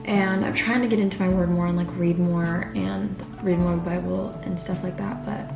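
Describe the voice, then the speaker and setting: Low-voiced young woman chatting. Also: steady tone, normal speed, gravelly. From California. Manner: rambling, many fillers and restarts. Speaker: vlogger. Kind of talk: casual chat. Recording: roomy sound with background music.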